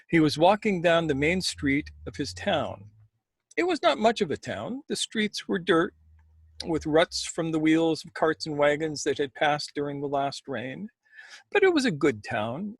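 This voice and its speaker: Male storyteller, slightly high register, measured and expressive, very dry intimate sound. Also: husky, no room sound. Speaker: storyteller and co-host.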